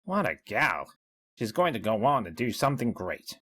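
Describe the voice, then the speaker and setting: A man's voice: on the low side, big pitch swings, normal speed, fairly bright tone, slightly rough, full character voice. A man playing a chatty, wry small-town innkeeper narrating his diary in an audio story. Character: chatty, mildly skeptical small-town bed-and-breakfast owner.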